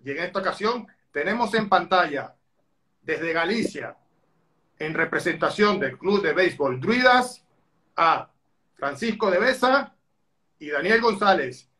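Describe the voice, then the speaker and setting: A man's voice: high voice, lively intonation, unhurried, pauses often, bright, forceful tone, a little hiss or hum. A man hosting an online interview over a video call from a dining room at home, speaking formally like an announcer. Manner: formal, announcer-like, with baseball flourishes. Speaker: interviewer, channel host. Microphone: webcam on a video call.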